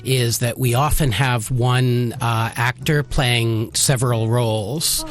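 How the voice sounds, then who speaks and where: A man talking as an interview guest, explaining in a halting, hesitant way with many pauses. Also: medium pitch, fairly even intonation. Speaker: radio play director, interview guest. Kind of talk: casual explanatory interview talk. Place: college radio studio.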